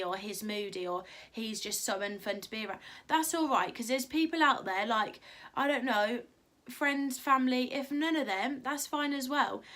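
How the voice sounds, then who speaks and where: A young woman talks casually to camera, her voice a little high and croaky from just waking up. She rambles warmly through her points, full of fillers and friendly encouragement. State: croaky morning voice. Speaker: vlogger. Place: at home.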